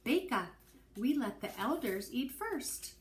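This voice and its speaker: Lady doing a patient gentle grandma from a kids book, slow n soft, kinda teachy. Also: a little high, very animated. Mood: gently firm.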